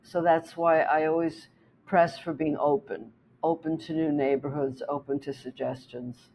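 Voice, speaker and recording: Give me a German-native woman realtor telling client stories in an interview, measured and explanatory. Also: deep voice, a little hiss or hum, poor audio. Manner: steady, explanatory, with small restarts. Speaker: real estate agent. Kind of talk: interview storytelling.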